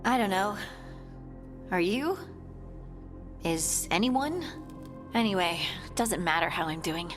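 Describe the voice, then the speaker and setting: A woman's voice: medium pitch, expressive, takes it slowly, long pauses, music in the background. An actress playing a determined, worried female scout in a fantasy video game, speaking plainly and with urgency. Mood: troubled, determined. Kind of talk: cinematic video game dialogue.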